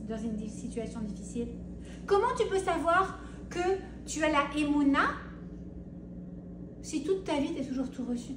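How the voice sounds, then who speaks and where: Femme au ton animé, pièce résonante. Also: female voice, sits high. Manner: animated, acts out the listener's pleas. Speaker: Torah teacher. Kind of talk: spoken Torah class to women. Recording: roomy, distant mic with hiss.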